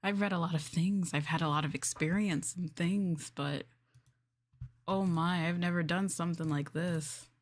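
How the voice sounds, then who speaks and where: Low-pitched woman chatting on a livestream. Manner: expressive, giggly, reacts with drawn-out exclamations. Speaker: livestream host reading scripts to chat. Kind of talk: casual livestream chat.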